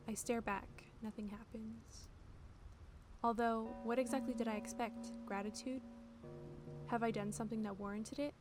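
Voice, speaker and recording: A woman streamer calmly reading game text aloud in a fairly monotone voice. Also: medium pitch. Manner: calm, fairly monotone reading with chatty asides to viewers. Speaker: VTuber streamer. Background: game music.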